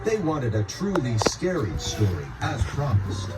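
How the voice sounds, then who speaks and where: A man narrating a scripted children's story in an expressive storytelling manner. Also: mid-range voice, unhurried. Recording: loud music behind narration. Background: music.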